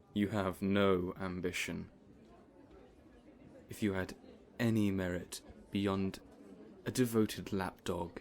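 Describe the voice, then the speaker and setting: A man playing a cold, contemptuous supervillain in an audio roleplay, speaking in a haughty, deliberate, threatening manner. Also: sits low, a little hiss or hum. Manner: menacing, slow, haughty, deliberate.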